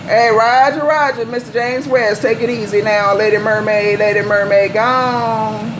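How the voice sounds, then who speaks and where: A woman's voice: a little high, steady tone, unhurried. An American woman working as a CB radio operator, bantering in folksy slang and repeating calls, handles and sign-offs. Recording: noisy live CB radio setup.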